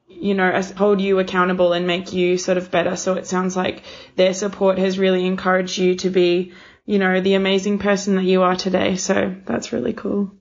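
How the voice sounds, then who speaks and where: A woman's voice: mid-range voice, level tone, moderate pace, dull audio. A woman hosting a casual interview, talking in an encouraging, rambling, conversational way.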